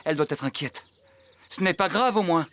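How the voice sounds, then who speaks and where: A man's voice: a little high, animated, moderate pace, a bit bright. A man playing a good-natured, bumbling farmhand in a children's cartoon dub, eager and exclamatory. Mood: concerned. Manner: exclamatory, muttering to himself. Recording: music behind the voice.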